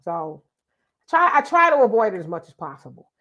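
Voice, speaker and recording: A woman's voice: mid-range voice, sing-song, fairly quick, bright, forceful tone, lo-fi sound. Congested chatty woman. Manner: chatty, emphatic, repeats herself. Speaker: live show host reading viewer comments. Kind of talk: casual live-stream talk.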